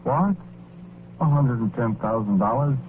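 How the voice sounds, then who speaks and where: A man's voice: medium pitch, lively intonation, unhurried, close to an everyday voice. An actor playing a gullible, tender-hearted working-class man, narrating an old-time radio drama in plain, slangy, folksy speech. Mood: wistful, chatty. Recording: noisy, tinny vintage radio recording.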